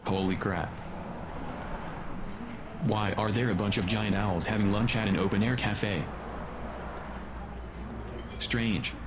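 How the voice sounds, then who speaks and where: Clear outdoor noise surrounds a gruff hero played by a man. He has a low voice and a curt, grumbling manner, as in a radio-style drama. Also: fairly even intonation, takes it slowly, long pauses. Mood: puzzled, grumbling. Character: gruff, bad-tempered male hero on the run with robot. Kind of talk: scripted audio drama, muttered monologue.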